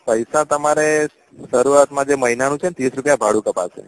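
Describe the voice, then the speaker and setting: A man's voice: mid-range voice, quick pace. A man with a Gujarati accent working as a customer care agent on a phone call, patient, deferential and placating. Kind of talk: polite helpline conversation.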